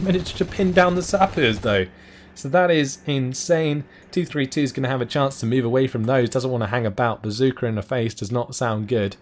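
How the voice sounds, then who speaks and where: A man with a hoarse, worn voice that he is losing, giving excitable live play-by-play commentary on a strategy game match and laughing often at surprising plays. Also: medium pitch. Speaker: game caster.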